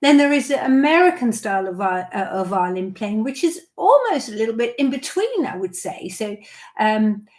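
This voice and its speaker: A woman's voice: a little high, big pitch swings, moderate pace, darkish tone. A woman from the Netherlands, a violin teacher, chatting through viewer questions on a live stream, with many fillers and restarts.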